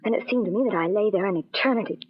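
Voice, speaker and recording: An actress playing a frightened, fretful wife in an old radio thriller, breathless, halting and melodramatic. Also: female voice, medium pitch, breathy edge, lightly acted. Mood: shaken, haunted. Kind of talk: 1940s radio thriller acting. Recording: muffled old band-limited radio recording.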